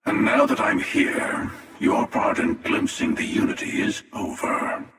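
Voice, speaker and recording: An actor playing a cynical, menacing, world-weary interdimensional hunter in a video game, with a gravelly, sardonic delivery. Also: male voice, fairly low pitch, a bit bright, faint music behind. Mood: menacing, confident. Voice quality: gravelly, creaky. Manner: slow, sardonic, taunting. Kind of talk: video game character voice lines. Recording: cavernous reverb, distant-sounding voice.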